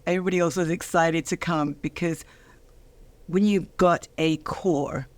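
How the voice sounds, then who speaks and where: A woman in her sixties with a London accent, a minister telling her story in a studio interview, animated, laughing often and slowing for emphasis. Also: fairly low pitch, no room sound. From London, England. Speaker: guest, minister.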